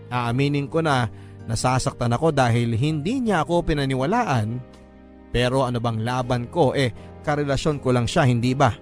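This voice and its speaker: A Filipino man narrates a scripted, first-person radio drama story. His voice sits at an average pitch for a man. Soft music plays behind him. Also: normal speed. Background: background music.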